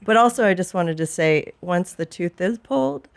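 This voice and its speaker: A woman's voice: fairly low pitch, animated, normal speed, vocal fry. A middle-aged woman co-hosting a podcast, talking frankly and informally in a candid couple's chat. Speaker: podcast co-host.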